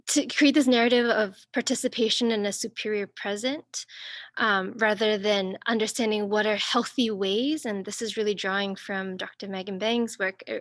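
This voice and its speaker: A woman from Singapore and northern Thailand giving an academic talk over a video call, thoughtful and searching, with many pauses and restarts. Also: medium pitch, a bit bright, no echo. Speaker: guest speaker.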